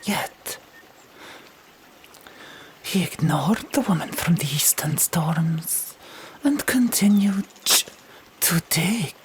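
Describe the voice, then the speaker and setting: A woman narrating an audiobook in a hushed ASMR whisper, with deliberate, dramatic phrasing. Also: lowish voice, lively intonation, a little hiss or hum, poor audio.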